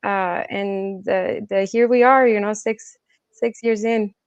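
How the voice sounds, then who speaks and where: A woman's voice: medium pitch, fairly even intonation, slow pace, clear, resonant tone, dry recording, dull audio. Woman, dry close sound, interview guest. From Colombia, also raised in the States and China. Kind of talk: casual conversational interview answers.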